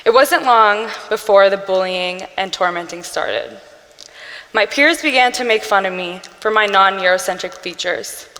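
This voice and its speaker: A young woman giving an earnest personal storytelling speech, measured, with pauses between phrases. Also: medium pitch, fairly bright tone. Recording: long reverb from a hall-like room.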